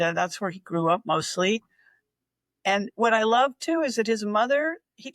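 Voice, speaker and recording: A woman's voice: medium pitch, no echo. A woman in her sixties, a regular contributor, telling a history story in a chatty, enthusiastic way. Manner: enthusiastic, chatty, with fillers and restarts. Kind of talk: casual storytelling.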